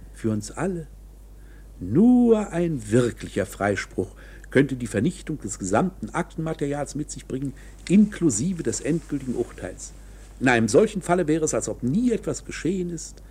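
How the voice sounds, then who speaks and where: An actor in a German radio play playing a knowing, coolly matter-of-fact court insider who explains things in a measured, insinuating, officious way. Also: male voice, on the high side, mellow, slightly rough, exaggerated voice.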